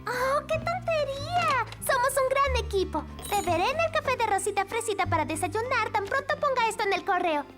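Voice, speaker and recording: A woman voicing a chatty, self-assured little girl with theatrical excitement in a children's cartoon dub. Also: extremely high pitch, fairly quick, few pauses. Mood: excited, proud. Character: bossy, excitable little girl, aspiring mystery writer. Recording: background music under the dialogue.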